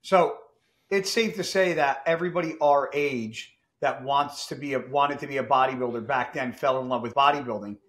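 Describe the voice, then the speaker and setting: A man in his forties hosting a casual podcast chat, blunt and animated, swearing freely. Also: mid-range voice, moderate pace, roomy sound. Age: 40s. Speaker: podcast host.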